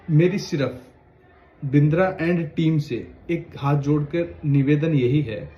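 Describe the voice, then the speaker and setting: A man's voice: on the high side, warm tone, slight background noise. Earnest man appealing in a roomy room. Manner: earnest, pleading yet warning. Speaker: lawyer. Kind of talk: direct-to-camera appeal. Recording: roomy, dull lo-fi sound.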